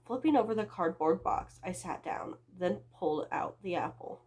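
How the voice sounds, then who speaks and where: A woman's voice: lowish voice, lively intonation, moderate pace, a little mellow, slightly rough. A young woman reading her own story aloud as a book vlogger, with a dramatic, self-mocking delivery and amused asides.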